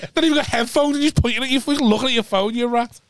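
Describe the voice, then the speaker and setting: A man's voice: extremely high pitch, very fast. A man hosting a podcast, joking and swearing in casual banter and laughing along.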